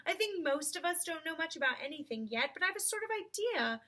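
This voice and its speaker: A woman's voice: extremely high pitch, animated, pushed and bright, pitched above the performer's own voice, full character voice. Woman voicing a musing, muddled talking horse. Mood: puzzled. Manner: slow, musing, halting. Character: slow-witted, muddled, earnest talking male cart horse.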